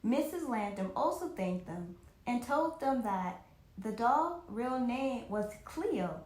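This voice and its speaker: A woman with a fairly high voice reads a children's story aloud in a roomy, echoing space. Her delivery is very lively and expressive, with an occasional stumble as she goes back over a phrase. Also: slow pace. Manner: animated, with occasional stumbles and rereadings.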